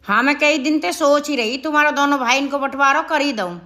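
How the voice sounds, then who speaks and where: A woman's voice: high-pitched, a little fast, bright, forceful tone. A woman with a Haryanvi accent playing a bossy, commanding village mother-in-law in a comedy skit. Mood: matter-of-fact, bossy.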